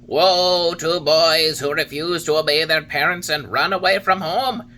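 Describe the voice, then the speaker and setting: A man voicing a wise old male talking cricket who lectures in solemn, drawn-out warnings. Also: on the high side, steady tone, bright, forceful tone, slight background noise, voice pushed up. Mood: lecturing.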